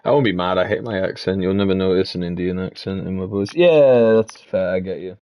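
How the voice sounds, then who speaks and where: A man's voice: low-pitched, a little fast, muffled recording. A man chatting casually on a live stream, muttering to himself as he works and swearing now and then.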